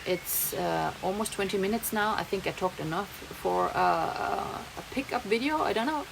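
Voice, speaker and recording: A woman's voice: mid-range voice, animated, moderate pace, creaky. A young woman with a German accent, rambling in a casual vlog monologue and slipping German words into her English. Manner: rambling, chatty, laughs often, slips German words in. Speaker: vlogger. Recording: noisy background, rain heard.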